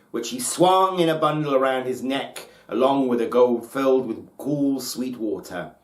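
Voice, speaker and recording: A man narrating a folktale as a storyteller, with solemn, deliberate, theatrical phrasing. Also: mid-range voice. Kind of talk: dramatic scripted folktale narration. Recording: roomy, echoing space.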